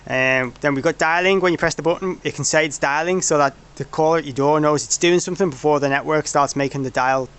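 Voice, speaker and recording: A man with a moderately high voice talks through a technical demonstration. He is informal and somewhat rambling, often restarting and correcting himself as he explains how a system works. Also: fast, few pauses, fairly bright tone, smooth. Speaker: product developer presenting a demo.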